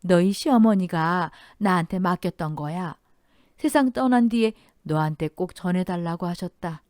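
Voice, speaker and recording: A woman's voice: fairly low pitch, moderate pace, darkish tone, dry recording, studio quality, natural voice. A woman voicing an outspoken older aunt-in-law who speaks in a blunt, scolding way in an audio drama. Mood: gentle.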